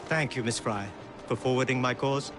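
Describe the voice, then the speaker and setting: An actor playing a dignified, shrewd exiled Indian king in a video game, speaking in a formal, measured, courtly way. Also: mid-range voice, fairly even intonation, close to an everyday voice. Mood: warm, grave. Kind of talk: video game cutscene acting. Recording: loud music behind the voice.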